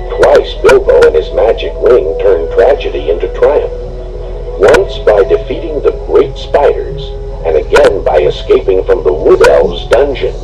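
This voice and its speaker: A man reading a storybook aloud with expressive, dramatic narration, on a noisy home recording. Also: on the low side, muffled recording. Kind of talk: scripted storybook read-along narration.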